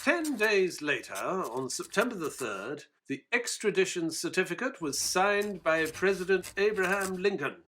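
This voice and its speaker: An actor playing an earnest Victorian gentleman doctor who narrates a case in an audio drama. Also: sits high, lively intonation, unhurried, hardly any pauses, a little hiss or hum, full character voice. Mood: earnest storytelling.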